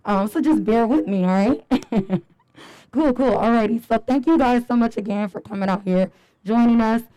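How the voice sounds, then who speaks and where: A young woman with a hoarse, raspy voice, hosting a live panel at a fitness event in an upbeat, chatty way with lots of fillers. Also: a little high, normal speed, mellow, no echo, low-quality recording. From Chicago area. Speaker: panel moderator and fitness trainer.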